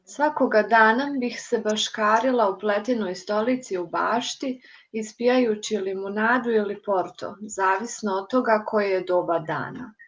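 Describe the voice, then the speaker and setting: A woman from Bosnia and Herzegovina reciting her poem in a measured way as a guest poet. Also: mid-range voice. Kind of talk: poetry recitation. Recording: dull lo-fi online call audio.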